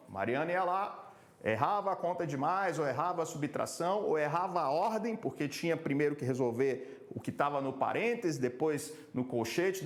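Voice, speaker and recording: Guy from salvador brazil coaching public speaking, kinda high voice, slow emphatic delivery, echoey room. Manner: measured, emphatic, slows down to stress points. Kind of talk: motivational talk to camera.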